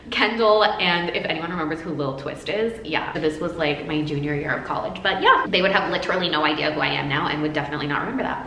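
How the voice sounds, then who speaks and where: A woman's voice: lowish voice, pushed and bright, recorded from a distance. A young woman from the United States answering fan questions in a playful, jokey self-interview vlog, with big swings of inflection. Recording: roomy echo, faint noise.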